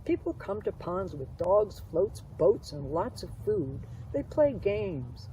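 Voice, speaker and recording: Woman reading as a mellow know-it-all turtle. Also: mid-range voice, very animated, takes it slowly. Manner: matter-of-fact, explanatory. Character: know-it-all painted turtle explaining human customs. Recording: slightly distant mic, faint outdoor noise.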